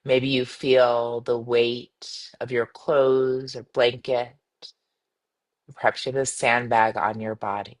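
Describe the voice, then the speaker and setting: A woman leading a guided meditation as a teacher, soothing and unhurried, giving gentle instructions with pauses between phrases. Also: rumbling low, flat delivery.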